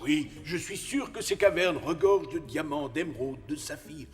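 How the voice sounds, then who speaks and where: A man's voice: a little high, moderate pace, lightly acted. A man voicing a courteous, jovial animal envoy with a ceremonious, obliging manner in a French cartoon dub.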